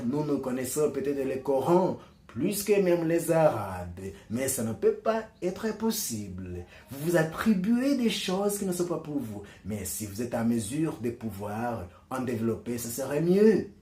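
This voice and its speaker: Slow, earnest man in an echoey room. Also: medium pitch, warm tone. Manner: slow, deliberate, searching for words. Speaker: commentator on religion and culture. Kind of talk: reflective monologue.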